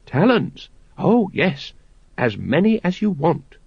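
A man voicing a smooth, manipulative male cult leader who speaks slowly and deliberately, in a dry, dull recording. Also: sits high, big pitch swings, dry recording, pitched above the performer's own voice, full character voice. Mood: sinister.

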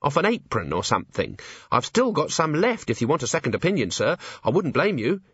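A man's voice: a little high, wildly swooping pitch, fairly quick, fairly bright tone, husky, no echo, dull audio, pitched above the performer's own voice, heavily acted. A man voicing a timid, earnest young female dwarf officer in an audiobook, eager and deferential. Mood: nervous, earnest.

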